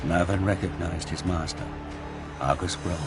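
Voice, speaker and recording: A man's voice: sits low, steady tone, moderate pace. A man giving dramatic scripted narration for an audio drama. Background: music.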